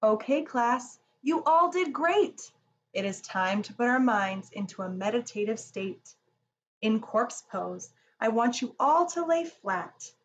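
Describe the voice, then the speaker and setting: A woman reading the part of a calm, encouraging female school yoga teacher in a storybook. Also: on the high side, takes it slowly, lo-fi sound, a little hiss or hum. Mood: calm, instructing.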